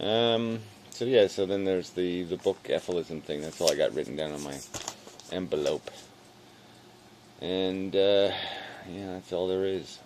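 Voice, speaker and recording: A man rambling casually in a weary, low-energy, self-deprecating way, halting and swearing as he talks to camera. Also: darkish tone, slight background noise. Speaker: video blogger.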